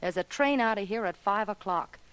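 A woman's voice: medium pitch, expressive, brisk, a bit bright, clear, resonant tone, no room sound, lightly acted. An actress playing a tough, sardonic young woman in a 1940s radio crime drama, with a hard-boiled, clipped delivery. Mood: urgent, hard.

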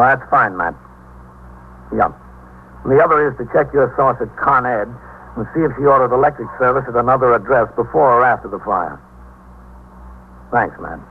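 A man acting as a precinct police captain in a 1950s American radio drama. He is steady, courteous and businesslike, talking in measured phrases. The recording is thin and narrow, with faint hum underneath. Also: medium pitch, close to an everyday voice.

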